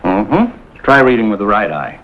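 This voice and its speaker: An actor playing a calm, kindly middle-aged American authority figure in 1950s television drama style. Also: male voice, on the low side, unhurried. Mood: businesslike, patient. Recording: dull, noisy 1950s television soundtrack.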